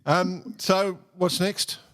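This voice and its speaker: A man's voice: sits high, flat delivery, very slow, fairly bright tone. A man hosting a sailing podcast, asking questions in a cheeky, joking and enthusiastic way. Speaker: podcast host. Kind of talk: casual podcast interview.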